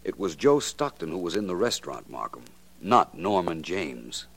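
An actor playing a suave, self-assured private detective in a 1940s radio drama, with polished, unhurried delivery. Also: male voice, deep voice, big pitch swings, vocal fry, fairly bright tone, breathy edge, full character voice. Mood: explaining.

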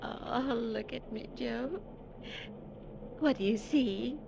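A woman's voice: on the high side, slow, creaky, lo-fi sound. An actress playing a needy, fearful married woman in vintage radio melodrama, with an emotional, pleading delivery. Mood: soothed, intimate. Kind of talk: 1940s-50s melodramatic radio acting. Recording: noisy vintage radio recording.

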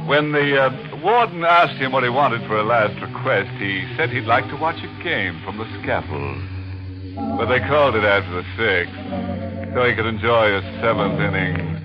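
An actor playing a wry, ghoulish host of a 1940s radio mystery, tongue firmly in cheek. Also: male voice, fairly low pitch, expressive, normal speed, close to an everyday voice. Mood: wry, macabre. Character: ghoulish, wry male horror host cracking morbid jokes. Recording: muffled old radio recording, music behind.

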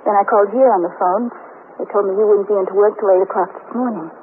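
Thin, noisy vintage radio sound frames an actress as an anxious American wife. She talks quickly and politely, with a hesitant, earnest manner typical of 1950s radio drama. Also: medium pitch, steady tone, narrow, tinny audio, close to an everyday voice. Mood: uneasy, earnest.

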